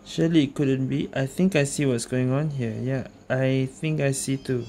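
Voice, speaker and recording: A woman's voice: rumbling low, fairly even intonation, normal speed, dark tone, slight background noise. A woman giving casual commentary as she plays through a video game. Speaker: gamer narrating a playthrough. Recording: game music behind the voice. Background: video game music and sounds.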